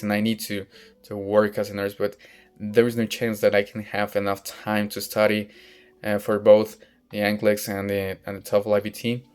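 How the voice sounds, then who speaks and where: A young man with a Mexican Spanish accent talks slowly and hesitantly, stopping often to restart sentences and hunt for the right English word. His low voice is smooth and clear, held in a flat, even tone. Faint music plays behind him. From northern Mexico. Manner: hesitant, searching for words, frequent restarts. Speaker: vlogger practicing English. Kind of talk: casual personal monologue. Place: outdoors in sunlight.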